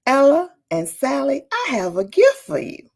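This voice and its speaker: A woman voicing a kind, proud old grandmother from a storybook, with a gentle, affectionate manner. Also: high voice, huge pitch swings, a little slow, vocal fry, pitched above the performer's own voice, heavily acted. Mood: fond, reminiscing.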